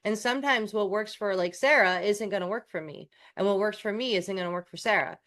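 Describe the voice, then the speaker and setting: A woman's voice: mid-range voice, a little fast, fairly bright tone. A woman from New York co-hosting a coaching podcast, talking in a candid, self-deprecating way with frequent restarts. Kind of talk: casual podcast chat.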